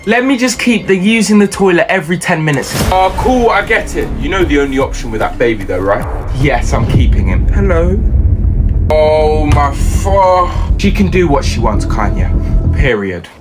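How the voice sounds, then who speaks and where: A young man acting out school comedy sketches, switching characters with quick slangy banter. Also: on the high side, very animated, music in the background. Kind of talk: sketch comedy playing all the parts. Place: school classrooms and corridors, indoors.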